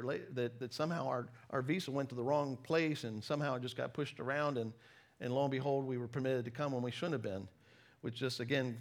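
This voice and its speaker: A middle-aged man with an American accent preaches in a fairly high voice. He tells personal stories in a conversational, self-deprecating way. He leans on key phrases and breaks them up with deliberate pauses. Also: fairly bright tone. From United States. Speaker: preacher, former missionary. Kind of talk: sermon with personal storytelling.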